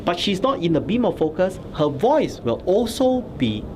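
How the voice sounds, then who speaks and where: Man reporting from a fair booth, animated, filler-heavy. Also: sits high, normal speed. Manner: lively, filler-heavy, repeating "okay?". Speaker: tech reporter host. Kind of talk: interview and explanatory recap. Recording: noisy trade fair background.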